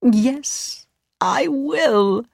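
A woman voicing a sly old male wizard posing as a kindly uncle in a storybook, with a theatrical, drawn-out delivery. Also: high voice, expressive, gravelly, no room sound, studio quality, pitched above the performer's own voice, exaggerated voice. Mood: smooth, pleasant.

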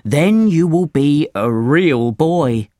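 A woman's voice: low-pitched, lively intonation, takes it slowly, dark tone, no room sound, clean studio sound. A woman voicing a small, bossy cricket in a children's storybook, admonishing and firm. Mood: stern. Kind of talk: children's storybook character reading.

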